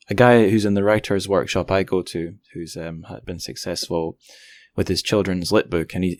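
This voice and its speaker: Male podcast host, deep low pitch, informal, conversational, casual interview chat, very dry recording. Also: dry recording.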